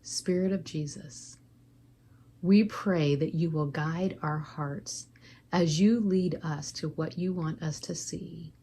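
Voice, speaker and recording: Low-voiced woman leading a guided meditation. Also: slow pace, mellow, slight background noise.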